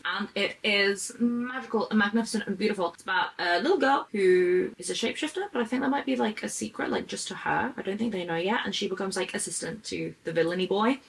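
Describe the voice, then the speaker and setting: A woman's voice: medium pitch, poor audio. A woman chatting casually and enthusiastically as she shows off books, gushing in the manner of a book vlogger. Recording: clear background noise.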